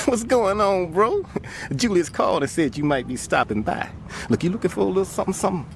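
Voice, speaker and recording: An actor playing a slick, chatty small-time American pimp, hustling in familiar slang for a video game cutscene. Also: male voice, high voice, expressive, fairly quick, vocal fry, slight background noise. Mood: chummy, hustling.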